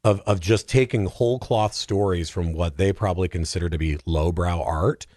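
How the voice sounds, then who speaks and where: A man chatting casually about films as a podcast guest, animated, opinionated and colloquial in his talk. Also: deep voice, normal speed, no room sound, studio quality. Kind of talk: casual film discussion.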